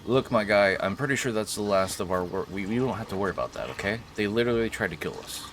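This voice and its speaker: A man's voice: on the low side, expressive, fast talker, noisy background. A man doing casual, joking let's-play commentary, chatting to himself and to game characters. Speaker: gaming streamer. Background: video game ambience.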